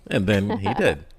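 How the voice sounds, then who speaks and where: A man's voice: fairly low pitch, a little mellow, breathy edge, no echo, clean studio sound. A man chatting casually as a podcast guest, with a jokey, self-deprecating manner. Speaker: podcast guest, audio drama creator. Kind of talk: animated casual podcast chat.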